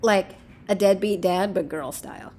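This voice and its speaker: A woman's voice: medium pitch, normal speed, warm tone, natural voice. An actress playing a breezy, sardonic, slangy woman who teases her panicking male partner in a naturalistic audio drama. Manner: flippant, teasing, slangy.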